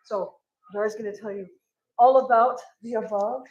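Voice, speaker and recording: A woman's voice: on the high side, steady tone, normal speed, a little mellow, highs cut off. A woman hosting a summer show, cheerfully introducing the evening's speaker in an observatory room. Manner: cheerful, playful. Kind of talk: event introduction. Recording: roomy, distant, faint noise.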